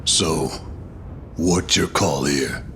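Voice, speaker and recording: An actor playing a cocky, nonchalant hired impostor in a video game, with laconic delivery. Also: male voice, a bass voice, very slow, a bit bright, a little hiss or hum, heavily acted. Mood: cool, nonchalant. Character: cocky, unhurried hired impostor, adult man. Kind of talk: English video game voice acting.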